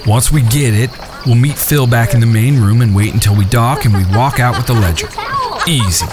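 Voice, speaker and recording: An actor in an audio drama playing a simple, polite younger outlaw brother in a plain-spoken country manner. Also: male voice, mid-range voice, fairly quick, over music, close to an everyday voice. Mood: cocky.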